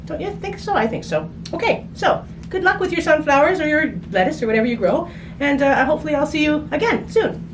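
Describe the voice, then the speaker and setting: A woman talks in a playful, chatty way, as if showing children a simple craft step by step. She thinks aloud in a casual, unscripted style. Her voice sits away from the microphone, with clear noise around it. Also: sits high, lively intonation, brisk. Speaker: children's story reader and craft host. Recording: distant mic, clearly noisy room.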